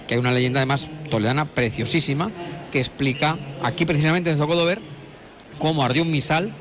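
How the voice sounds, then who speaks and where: A middle-aged man from La Mancha, giving discursive live commentary on a procession with many fillers and restarts. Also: mid-range voice. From La Mancha, Spain. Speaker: broadcast commentator. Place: outdoors at a street procession. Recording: live street broadcast with crowd noise. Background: crowd.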